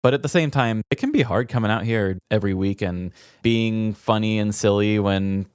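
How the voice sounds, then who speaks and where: A man's voice: lowish voice, normal speed, a little mellow, clean tone, no room sound, clean studio sound. A man co-hosting a casual comedic podcast, talking in an earnest, candid and informal way. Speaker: podcast co-host.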